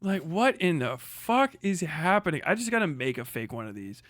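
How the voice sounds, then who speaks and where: A man's voice: on the high side, very animated, normal speed, a bit bright, no room sound, studio quality. A young man streaming, chatting casually in a crude, incredulous way with frequent laughter. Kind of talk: casual chat.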